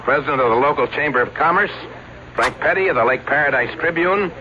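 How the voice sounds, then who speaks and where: A man's voice: a little high, fast talker, highs cut off, background noise, close to an everyday voice. An actor playing a showman who announces an escape act in an old radio drama, with a dramatic, announcing manner. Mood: businesslike. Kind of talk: 1970s radio drama acting.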